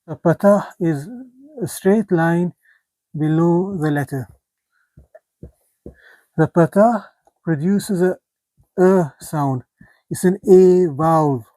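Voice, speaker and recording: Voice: mid-range voice, takes it slowly, long pauses, warm tone, dry recording, poor audio. A teacher giving a careful beginner lesson, spelling out letter sounds one by one, with some fillers and restarts. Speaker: language teacher. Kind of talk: beginner lesson on script and vowel marks.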